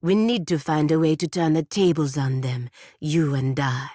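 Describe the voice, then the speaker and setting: An actress playing a proud, dutiful female warrior in a fantasy video game, speaking in a measured, formal, grave way. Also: low voice, steady tone, warm tone, no echo, clean studio sound. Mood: scornful. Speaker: video game character voice actor. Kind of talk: scripted video game dialogue.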